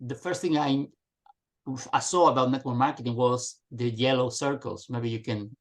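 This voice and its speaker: A man's voice: medium pitch, normal speed. A middle-aged man from Spain, a guest telling his story on a video call. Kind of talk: casual video call chat.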